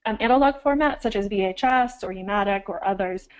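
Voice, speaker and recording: A woman's voice: medium pitch, a little fast, lo-fi sound. A woman archivist getting over a cold, slightly froggy, giving an instructional webinar with frequent ums and self-corrections.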